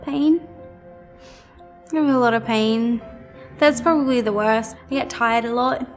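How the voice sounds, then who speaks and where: A woman in her twenties telling her own story hesitantly, with halting restarts. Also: fairly high pitch, faint music behind. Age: 20s. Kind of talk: personal testimony interview.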